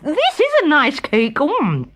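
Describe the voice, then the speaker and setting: A woman's voice: high voice, huge pitch swings, slow, fairly bright tone, pitched above the performer's own voice, heavily acted. A woman voicing a flustered, obliging male cook in a children's storybook, deferential and eager. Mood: obliging, cheerful. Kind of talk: storybook character voice.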